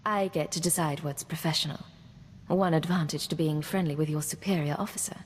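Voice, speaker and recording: Game lead, female actor: confident, wry spy commander woman, cool and brisk, lower-leaning voice, reverberant sound. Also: steady tone, natural voice. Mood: flirtatious. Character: confident, wry female imperial intelligence agent, commanding officer. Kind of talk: scripted video game dialogue. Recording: long reverb, audible background noise.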